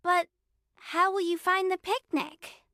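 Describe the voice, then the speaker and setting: A brave, kind little princess, played by a woman for a bedtime story. The voice is very high and bright, speaking slowly and earnestly with sweet reassurance. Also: fairly even intonation, no echo, studio quality, heavily acted. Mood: delighted. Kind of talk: children's bedtime story character voice.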